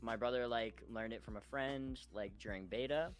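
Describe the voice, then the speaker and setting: A man's voice: medium pitch, normal speed. A young man chatting casually about video games as a podcast panelist, in informal speech peppered with filler words. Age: young adult. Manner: informal, repeats "like" often.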